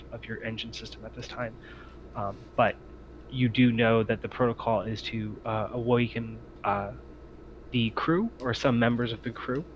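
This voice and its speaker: A man's voice: on the low side, a bit bright, slight background noise, rough recording. A man acting as game master, improvising tabletop game narration in a hesitant, explanatory way.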